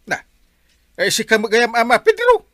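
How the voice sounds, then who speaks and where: An actor playing a lazy, vain village captain in a comedy radio drama, whiny and dismissive, often stammering. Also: male voice, extremely high pitch, huge pitch swings, moderate pace, a bit bright, no room sound, studio quality, full character voice. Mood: flustered, evasive. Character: lazy, vain village captain obsessed with his online videos.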